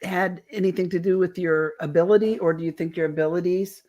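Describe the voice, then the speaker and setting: Lower-pitched woman hosting a talk show. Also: steady tone, brisk, mellow, highs cut off. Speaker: radio show host, interviewer. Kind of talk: casual interview chat.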